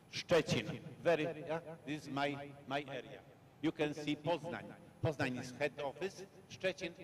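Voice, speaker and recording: Halting Polish-accented male lecturer. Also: on the high side, slight background noise. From Poland. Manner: halting, repetitive, short fragmented phrases. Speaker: guest lecturer. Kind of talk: academic lecture with slides. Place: lecture hall.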